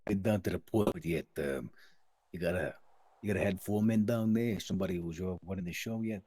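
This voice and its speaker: A deep, closely recorded, dry male voice, acted by a roleplayer, as a mob associate in a crime game. He talks with self-important formality, like a man who handles business. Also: brisk, dark tone, no echo. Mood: measured, advising. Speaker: roleplay player. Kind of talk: improvised in-character crime roleplay.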